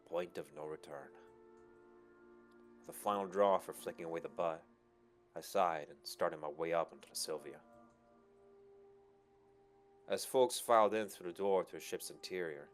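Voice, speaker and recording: A man's voice: low-pitched, moderate pace, pauses often. A man reading first-person narration from a visual novel as a storyteller. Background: background music.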